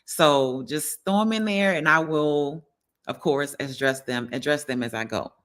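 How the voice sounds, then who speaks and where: Bright-voiced middle-aged woman. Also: low-pitched, a little slow. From New Orleans, United States. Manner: conversational, addresses viewers directly, often seeks agreement. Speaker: wellness livestream host. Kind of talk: casual livestream chat.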